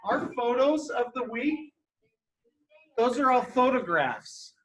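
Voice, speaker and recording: A man's voice: extremely high pitch, big pitch swings, slow pace, background noise. A man reading a picture book aloud to young children as a teacher, explaining things simply and asking them questions.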